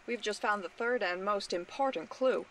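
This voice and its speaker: A woman playing a confident young detective in an old-time radio drama, polite, assured and deliberate. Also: mid-range voice, a bit bright, close to an everyday voice. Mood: triumphant. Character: confident, courteous young amateur detective Ellery. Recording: noisy amateur radio play recording.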